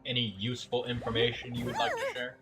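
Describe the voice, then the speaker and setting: A man giving casual, explanatory game commentary as a streamer, halting now and then as he thinks. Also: mid-range voice, huge pitch swings, over music.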